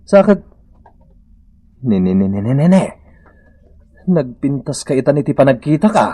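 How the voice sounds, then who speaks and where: An actor with an Ilocano accent playing an astonished, teasing husband in a comedy radio drama, with a drawling, exclamatory delivery. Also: male voice, medium pitch, big pitch swings, normal speed, mellow, slightly rough. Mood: amazed. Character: astonished, teasing husband admiring his changed wife.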